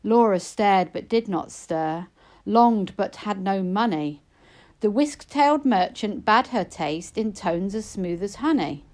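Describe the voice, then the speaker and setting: A woman reading narrative poetry expressively, in a measured storytelling manner. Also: mid-range voice, lively intonation, a little mellow. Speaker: poetry reader.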